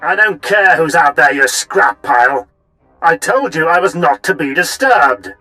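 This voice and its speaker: Snooty short-fused military officer in a game, sharp voice, dry close sound, bossy. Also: male voice, fairly high pitch, expressive, measured pace, dry recording, faint music behind, low-quality recording. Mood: irate. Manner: pompous, imperious. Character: haughty, short-tempered male imperial military officer. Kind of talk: video game cutscene acting.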